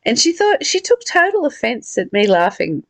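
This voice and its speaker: A woman hosting a spiritual study, reading aloud and reflecting casually in a halting, deliberate way. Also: on the high side, big pitch swings, a bit bright, dry recording. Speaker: host of a spiritual book study.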